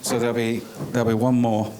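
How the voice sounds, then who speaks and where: A British man from Cornwall giving semi-formal closing remarks at a conference, speaking in a halting, hesitant way. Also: medium pitch, little pitch movement. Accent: British English. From Cornwall, England. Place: indoor business school conference venue. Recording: clearly noisy conference room recording.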